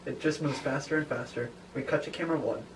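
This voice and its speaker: A man with a mid-pitched voice reads stage directions in an informal narrating style. The recording is noisy and he sounds some distance from the microphone. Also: steady tone, quick pace.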